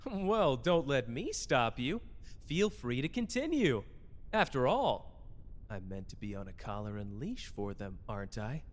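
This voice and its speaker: A man's voice: sing-song, measured pace. A man playing a cocky, protective young sorcerer in an anime audio drama, with a taunting, casual swagger. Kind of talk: scripted anime fan audio drama acting. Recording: long reverb, faint rumbling noise.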